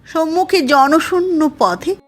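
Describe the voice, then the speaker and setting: A woman with a high voice reads a literary story aloud. Her delivery is slow, formal and measured, as scripted narration, with faint music behind her. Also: animated. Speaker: audiobook narrator.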